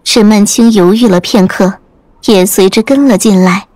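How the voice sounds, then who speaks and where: A woman's voice: mid-range voice, moderate pace, darkish tone, dry recording. A woman reading scripted audiobook narration as the storyteller. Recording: music under the voice.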